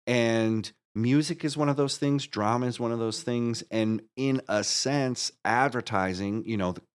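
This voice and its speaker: A man speaking as a podcast guest, giving conversational answers in an earnest, halting way with emphatic restatements. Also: medium pitch, smooth. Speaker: podcast guest, musical creator.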